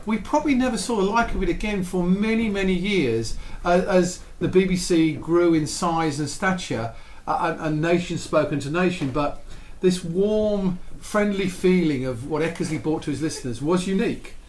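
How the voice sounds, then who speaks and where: A man's voice: high-pitched, moderate pace. A man telling a history story with enthusiasm, tired and losing his voice. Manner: warm, enthusiastic, with many restarts. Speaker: radio history presenter. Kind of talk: storytelling talk. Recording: echoey room with faint ambient noise.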